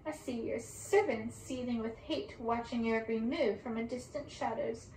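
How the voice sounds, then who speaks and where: A spiteful, mocking witch from a storybook, acted out by a woman. The voice is high and insistent, taunting at every turn, recorded in a roomy space with some hiss. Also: normal speed, a little mellow, natural voice. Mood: gloating. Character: cackling, mocking witch sisters, spiteful and possessive. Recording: distant roomy mic with background hiss.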